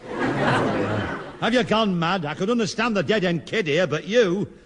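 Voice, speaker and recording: A man's voice: high voice, very animated, unhurried. A man playing a blustering, hot-tempered neighbour who threatens and sneers, in 1960s British radio sitcom style. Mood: menacing sarcasm. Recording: noisy old radio broadcast, reverberant studio.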